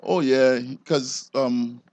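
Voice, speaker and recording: A man with a Belizean accent giving an informal interview as an enforcement officer, explaining things haltingly and repeating words. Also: mid-range voice, a little mellow, dry recording.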